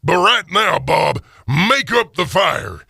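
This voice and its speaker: Gruff old reformed miser, radio play. Slow, growling, very gravelly, stammering kindness. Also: male voice, high-pitched, sing-song, bright, forceful tone, no room sound, studio quality, pitched above the performer's own voice, heavily acted. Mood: joyful. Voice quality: creaky, growling. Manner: halting, folksy, stammering. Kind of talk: audio drama of a classic Christmas story.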